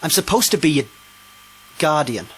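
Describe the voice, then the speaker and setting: A woman with a British accent playing a brusque, sly, deadpan guardian in a comic audio drama. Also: deep voice, moderate pace, slightly rough, exaggerated voice, rough recording. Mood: gruff, cynical. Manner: deadpan, blunt, mock-polite.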